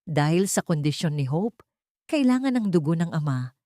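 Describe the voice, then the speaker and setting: Woman voicing a weary, gentle old grandfather. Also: deep voice, big pitch swings, normal speed, no room sound, clean studio sound. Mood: grave.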